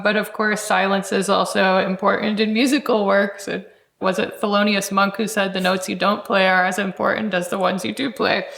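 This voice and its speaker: Creaky-voiced woman interviewer, echoey room. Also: mid-range voice, fairly bright tone. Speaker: interviewer, podcast host. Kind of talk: scholarly interview questions.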